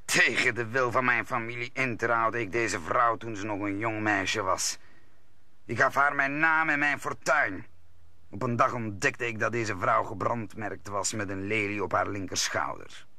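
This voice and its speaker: A grave nobleman sitting in judgment in a radio drama. His voice is very tense and forceful, dry and close. He speaks formally, as an accuser. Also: lowish voice, unhurried, no room sound, heavily acted. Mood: grave, bitter. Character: stern, wronged nobleman husband pronouncing judgment. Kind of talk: Dutch radio play acting.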